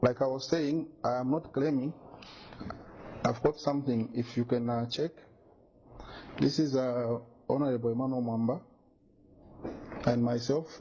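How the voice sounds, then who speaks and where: A man's voice: mid-range voice, steady tone, moderate pace, warm tone, slight background noise. A man from Zambia, making an insistent, indignant statement to the media and repeating his points. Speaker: businessman making a complaint. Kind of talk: emphatic accusatory statement to media. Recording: dull lo-fi recording.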